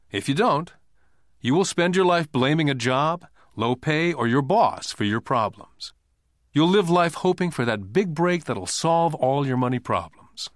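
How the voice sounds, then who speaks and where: A man voicing a calm, shrewd boss in an audiobook, lecturing like a mentor in a measured, wry way. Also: medium pitch, dry recording, clean studio sound, pitched above the performer's own voice.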